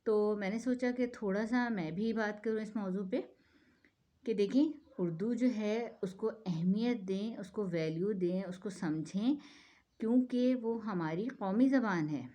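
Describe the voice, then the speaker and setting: A woman from Pakistan speaks in an earnest, persuasive monologue. She keeps asking rhetorical questions and answering them herself, and often checks in with her listeners. Her pitch sits mid-range for a woman. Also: unhurried, dark tone. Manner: persuasive, full of rhetorical questions and "theek hai". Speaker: speaker giving a talk on the national language.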